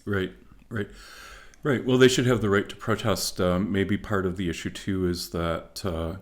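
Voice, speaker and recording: Deep-voiced man, slow and thoughtful. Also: fairly even intonation. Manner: thoughtful, measured, many pauses and fillers. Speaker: guest, philosopher and author. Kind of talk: podcast interview.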